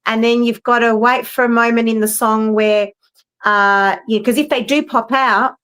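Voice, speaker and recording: A woman's voice: a little high, steady tone, moderate pace, a bit bright, clear, resonant tone. A middle-aged woman, a professional singer, talking casually on a video call, rambling with many fillers and restarts. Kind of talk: casual roundtable chat. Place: home, live-streamed video call.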